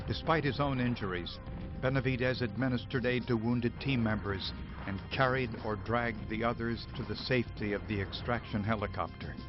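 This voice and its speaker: A man with an American accent reading formal, scripted documentary narration. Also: on the low side. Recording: slightly dull audio under music.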